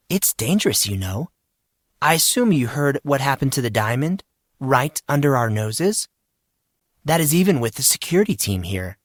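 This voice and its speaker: A man's voice: medium pitch, breathy edge, no echo, studio quality. A man voicing a concerned, questioning woman teacher in an audio story. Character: worried, challenging adult woman teacher in high heels.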